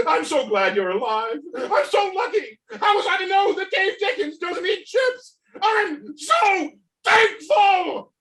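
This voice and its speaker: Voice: very high voice, full character voice. A performer playing a gloating, doomed masked criminal with theatrical mockery in a comedic script reading. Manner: theatrical, mocking, swinging into shouts. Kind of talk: comedic script table read. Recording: distant, echoey video call audio.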